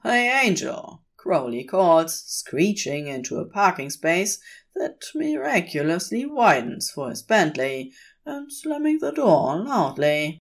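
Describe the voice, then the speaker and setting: A woman's voice: fairly low pitch, big pitch swings, slow pace. A woman reading scripted fiction as a narrator.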